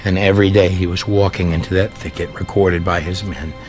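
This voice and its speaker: Male preacher-storyteller, lowish voice, theatrical emphatic delivery of sermon and prophecy, faint music underneath. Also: steady tone, darkish tone. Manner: theatrical, emphatic, drawn-out dramatic phrasing with rhetorical questions. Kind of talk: dramatic sermon with read-aloud prophecy. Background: background music.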